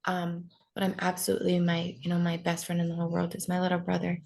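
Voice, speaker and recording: A woman's voice: on the low side, monotone, fast, creaky, a little mellow. A young woman from Maine telling her life story in an interview, candid, with many ums and likes. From Maine, USA. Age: 20s. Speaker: podcast guest. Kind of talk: personal interview talk.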